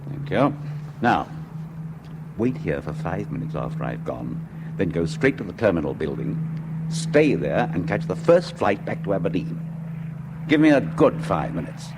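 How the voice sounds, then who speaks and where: An actor playing a scheming male conspirator who gives curt, hushed orders, in a radio drama. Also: deep voice, sing-song, moderate pace, very noisy. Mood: commanding. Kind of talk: 1970s radio drama acting.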